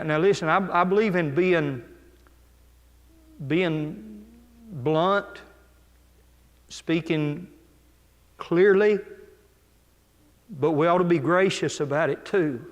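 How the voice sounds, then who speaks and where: Slow-talking missionary man in echoing hall. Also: fairly high pitch, fairly bright tone. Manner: plain-spoken, frequent restarts and "okay" asides. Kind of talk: church talk with personal stories. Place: church sanctuary. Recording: long church hall reverb.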